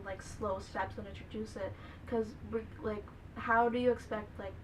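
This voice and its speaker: Young American woman, noisy distant recording. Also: medium pitch. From Bangladesh, raised in the United States from early childhood. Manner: hesitant, peppers speech with "like". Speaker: college student being interviewed. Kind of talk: informal interview answers. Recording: noisy room, distant microphone.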